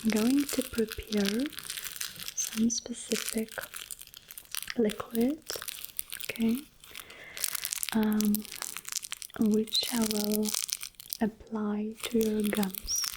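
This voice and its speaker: A woman speaking softly and breathily in an ASMR medical roleplay, often slipping into a whisper, gentle and reassuring, close to the microphone. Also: mid-range voice, little pitch movement. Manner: gentle, reassuring, unhurried, softly checking with okay. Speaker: ASMR performer playing medical specialists in roleplay. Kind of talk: soft-spoken ASMR medical roleplay, close-up personal attention. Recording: close-up mic with faint background noise.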